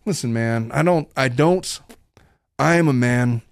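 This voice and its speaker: A man's voice: medium pitch, unhurried, gravelly, clean tone, no echo, clean studio sound. A middle-aged man hosting his own podcast as a family law attorney, talking bluntly and earnestly in a long, rambling monologue.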